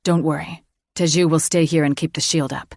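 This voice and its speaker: Woman playing a low, reassuring dragon-shifter lover. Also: fairly even intonation, fairly quick, darkish tone, no echo, clean studio sound. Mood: tender. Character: young man, devoted protective dragon-shifter lover.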